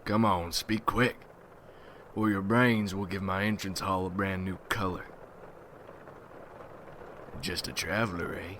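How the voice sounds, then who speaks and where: A man playing a gruff, ruthless, wary vampire hunter in an ASMR roleplay, with a folksy, brooding, rough-spoken manner. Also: low voice, fairly even intonation, a little slow, long pauses, fairly bright tone. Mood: threatening. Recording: noisy ambience behind the voice.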